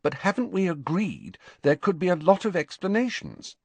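A man's voice: high-pitched, huge pitch swings, normal speed, vocal fry, husky, no room sound, pitched above the performer's own voice, heavily acted. A man voicing a sensible teenage girl in an audiobook, reasoning and faintly exasperated. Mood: impatient.

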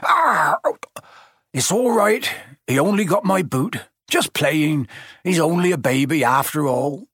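A man voicing a big, soft-hearted, tearful gamekeeper who puffs and speaks in a muffled voice. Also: a little high, lively intonation, slow, breathy edge, no echo, studio quality, voice pushed up, heavily acted. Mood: fussing. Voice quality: puffing, muffled.

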